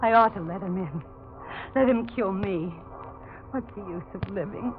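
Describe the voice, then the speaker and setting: A woman's voice: medium pitch, unhurried, music in the background, natural voice. An actress playing a haunted young woman in a 1940s radio thriller, melodramatic, anguished and hesitant in delivery. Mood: despairing, hushed. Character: frightened young woman haunted by a supposed ghost. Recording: muffled, hissy 1940s radio recording.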